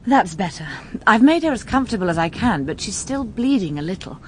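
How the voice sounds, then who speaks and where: A woman's voice: medium pitch, very animated, fairly quick, a little mellow, slight background noise, lightly acted, poor audio. An actress playing a spirited, teasing and caring young woman in a radio drama. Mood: urgent.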